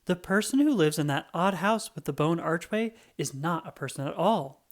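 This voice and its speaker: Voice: mid-range voice, expressive, moderate pace, natural voice. A narrator as an eager, earnest storybook weasel detective. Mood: excited.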